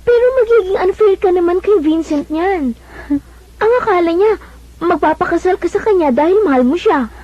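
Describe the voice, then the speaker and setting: A high, soft-toned young Filipino woman plays the anxious younger sister in a radio soap. She is earnest and pleading. The sound is muffled and lo-fi. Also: expressive, moderate pace, dry recording. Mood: worried, pleading. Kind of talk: Filipino radio drama acting. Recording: dull lo-fi radio broadcast.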